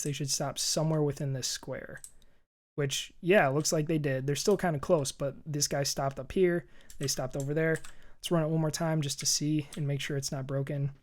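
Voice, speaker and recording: A man with a hoarse, scratchy voice, casually walking through a programming tutorial as he works and thinks aloud. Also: fairly high pitch, darkish tone. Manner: chatty and upbeat, thinking aloud while typing. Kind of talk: screen-recorded coding walkthrough. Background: keyboard typing.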